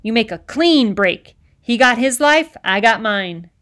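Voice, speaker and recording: A woman reading an audiobook, voicing a blustering, bigoted middle-aged male island policeman who lectures in rough, folksy grammar. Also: on the high side, expressive, unhurried, fairly bright tone. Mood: loud, bullying.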